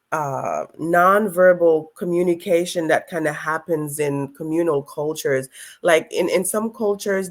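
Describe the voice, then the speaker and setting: Caribbean woman author guest, thoughtful, filler-heavy. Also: low voice. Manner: thoughtful, measured, with many fillers. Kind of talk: conversational interview.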